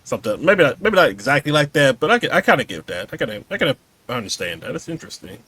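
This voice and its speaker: A man hosts a relaxed interview podcast. His voice is bright and forward, recorded very dry with hardly any room sound. He talks in an everyday, chatty way. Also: medium pitch, fast, breathy edge, no room sound. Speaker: podcast host. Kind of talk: casual interview chat.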